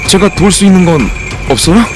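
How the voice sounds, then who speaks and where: A nervous young doctor. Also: male voice, sits high, lively intonation, fast talker, over music. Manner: anxious questions. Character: nervous, curious male doctor.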